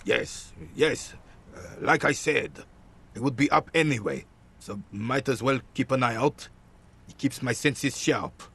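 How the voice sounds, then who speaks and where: An actor playing a rigid, proud old royalist soldier in a video game, with a raspy voice and a pompous, emphatic delivery. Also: male voice, mid-range voice, a little slow, fairly bright tone, full character voice. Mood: impatient. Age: older. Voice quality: gravelly, raspy.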